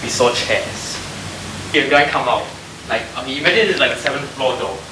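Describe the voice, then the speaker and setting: A man's voice: mid-range voice, expressive, a little fast, recorded from a distance, background noise. A young man with a Singaporean accent chatting casually and jokingly to a camera indoors. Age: young adult. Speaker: student cameraman in a behind-the-scenes vlog. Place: indoor office studio. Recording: echoey room with background chatter.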